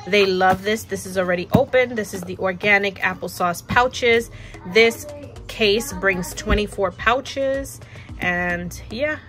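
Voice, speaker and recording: A young woman casually narrating a grocery haul from her home kitchen, relaxed and chatty, often repeating words for emphasis. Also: mid-range voice. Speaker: vlogger showing a grocery haul. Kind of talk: casual show-and-tell narration. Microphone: camera pointed down at items on a kitchen counter. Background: background music.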